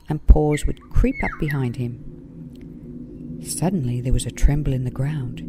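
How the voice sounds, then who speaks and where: A woman's voice: a bass voice, noisy background. A woman narrating a children's story in a scripted read-aloud style.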